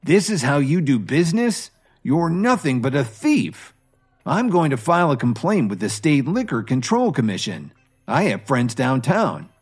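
Guy doing a drunk loudmouth young guy, higher and pushy, outraged. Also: huge pitch swings, unhurried, few pauses, faint music behind, heavily acted. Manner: loud, indignant. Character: brash drunk young man, blustering.

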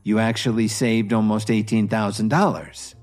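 A man voicing a calm, smug hotel manager who speaks slowly and evenly. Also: on the low side, soft background music, close to an everyday voice. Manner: calm, measured, sarcastic.

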